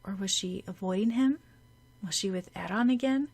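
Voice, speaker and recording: A woman's voice: medium pitch, normal speed, vocal fry, darkish tone, husky. A woman narrating a fan fiction audiobook, reading scripted prose.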